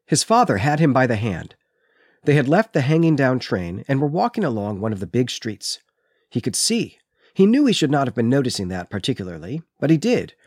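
A man's voice: mid-range voice, dry recording, clean studio sound. A man co-hosting a book podcast, speaking in an articulate, explanatory way as he discusses and reads literature. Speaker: podcast co-host.

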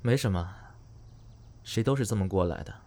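Drama lead: plain-spoken young star male actor, low pitch, casual, guarded delivery. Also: normal speed, warm tone, close to an everyday voice. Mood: guarded, laconic. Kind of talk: Chinese audio drama acting.

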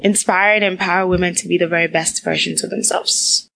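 A young woman from Ghana, a guest entrepreneur, telling stories in a casual interview, animated and laughing often. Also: fairly low pitch, fairly quick, gravelly, background noise. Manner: animated storyteller, laughs often, repeats words.

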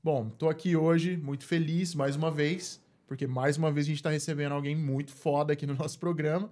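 A man's voice: fairly high pitch, steady tone, a little fast, darkish tone. A man hosting a podcast, chatty and upbeat, often tagging sentences with a questioning aside. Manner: chatty, upbeat, frequent "né?" tags. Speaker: podcast host. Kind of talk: casual podcast chat.

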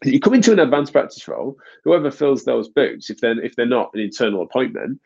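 A man's voice: mid-range voice, lively intonation, quick pace, vocal fry, a little mellow, husky. A man hosting a podcast, a physiotherapist talking casually in a nasal, stuffed-up voice, rambling with frequent restarts. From United Kingdom. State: congested, stuffy nose. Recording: dull lo-fi recording.

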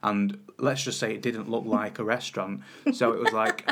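A man co-hosting a podcast in casual chat, with a loud voice that carries. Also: on the low side, little pitch movement, moderate pace. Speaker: podcast co-host.

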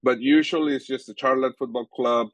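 A young Venezuelan man, a native Spanish speaker, answering interview questions about his sports journalism work, pausing often with fillers and restarts. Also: medium pitch, steady tone, fairly bright tone, no echo. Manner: halting, many fillers and restarts.

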